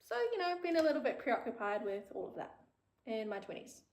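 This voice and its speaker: A woman's voice: fairly high pitch, animated, fast, a little mellow, reverberant, a little hiss or hum. A woman in her thirties with a New Zealand accent, chatting frankly and casually on her own show, swearing freely. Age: 30s. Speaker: podcast host. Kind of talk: casual solo chat.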